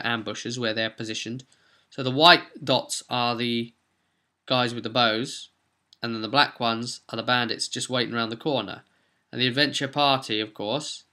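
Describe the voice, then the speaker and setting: A man's voice: medium pitch, bright, forceful tone. A man giving a casual, rambling, chatty recap of a tabletop role-playing session as its game master.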